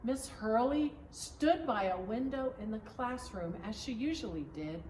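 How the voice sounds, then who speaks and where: Female storyteller, higher voice, measured and expressive children's read-aloud; echoey recording with slight background noise. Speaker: pastor reading a story aloud. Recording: roomy echo, distant mic, background noise.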